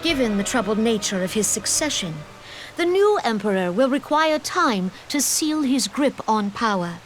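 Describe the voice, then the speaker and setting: A fairly high-voiced woman counsellor from a fantasy game played by an actress speaks with formal, measured authority. Her delivery is deliberate and composed, like a briefing to allies, with music playing softly underneath. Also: animated, lightly acted. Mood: grave, assured. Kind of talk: fantasy game cutscene acting.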